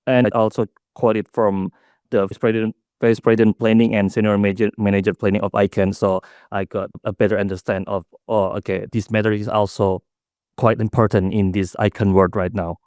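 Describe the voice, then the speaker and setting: Young guy giving a conference talk, lowish voice, stops and fixes his words a lot, dry close sound. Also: flat delivery, a little mellow, no echo. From Indonesia. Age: young adult. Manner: halting, with self-corrections and fillers. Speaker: student presenter. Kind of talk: conference presentation. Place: conference room.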